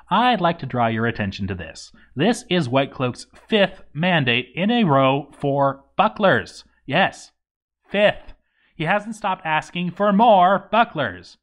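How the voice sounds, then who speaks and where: Animated, sarcastic man narrating. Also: on the high side. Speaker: narrator of a game story read-through. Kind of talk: comedic scripted narration of a game log.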